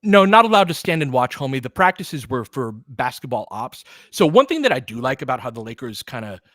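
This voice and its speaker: A man's voice: mid-range voice, sing-song, a little fast, a bit bright, dry recording, clean studio sound. An American man hosting a basketball livestream, talking in an informal, slangy way as he mixes fan chat with play-by-play commentary.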